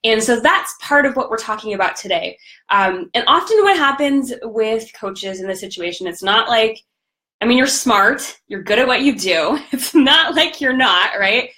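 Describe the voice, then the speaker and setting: A woman with a slightly raspy voice from a recent cold, hosting a live coaching stream in an upbeat, chatty way. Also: on the high side, lively intonation, normal speed, bright, forceful tone. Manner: upbeat, chatty, greets viewers by name. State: recovering from a cold. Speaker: podcast host and business coach.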